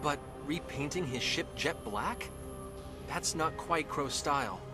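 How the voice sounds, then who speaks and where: A man's voice: fairly high pitch, lively intonation, a little slow. A man playing an earnest, hot-blooded young crew leader in a video game. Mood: troubled. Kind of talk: dramatic video game acting. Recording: game music behind the voice.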